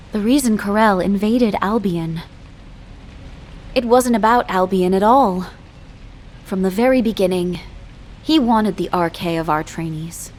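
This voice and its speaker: An actress as a resolute woman military leader in a video game. She briefs formally and gravely in a mid-pitched, measured voice with some background noise. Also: darkish tone, natural voice.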